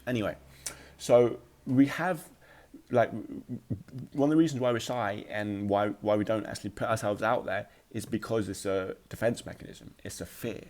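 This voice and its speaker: A man with a low-pitched voice talks informally and motivationally, as on a podcast. His speech has a slight occasional stutter, with repeated words and a halting flow, and he leans firmly on his key points. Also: darkish tone. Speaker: podcast host.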